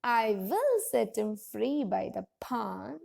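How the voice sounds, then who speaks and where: Casting: woman as caring farmer in a storybook, gentle, plain delivery, slightly creaky and husky. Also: on the high side, very animated, slow pace, exaggerated voice. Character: kindly male farmer, caring father. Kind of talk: children's storybook character reading.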